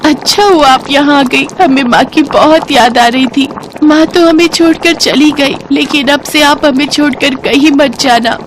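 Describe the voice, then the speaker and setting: A woman's voice: sits high, unhurried, noisy background, voice pushed up. A woman voicing an innocent six-year-old orphan village girl, childlike and earnest, in a devotional storybook tale. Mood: pleading. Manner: childlike, pleading, curious. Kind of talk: Hindi devotional storybook acting.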